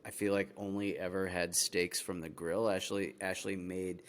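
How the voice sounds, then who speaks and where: A man's voice: sits low, level tone, normal speed. A man co-hosting a casual gaming podcast, rambling easily with many ums and uhs. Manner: rambling, many fillers, easygoing. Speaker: podcast co-host.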